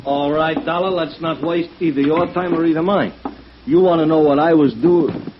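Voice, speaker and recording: An actor playing an excitable, rough-edged American booking agent, blustering and repetitive, in a 1950s radio drama. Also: male voice, fairly high pitch, normal speed, background noise, natural voice. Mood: defensive. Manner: blustering, repetitive, ungrammatical tough talk. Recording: dull old radio recording.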